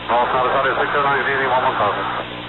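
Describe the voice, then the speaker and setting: A man's voice: medium pitch, steady tone, fast, loud music behind the voice. A man speaking as an airline pilot, giving terse readbacks over a cockpit radio. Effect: cockpit radio transmission. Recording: tinny, very noisy aviation radio.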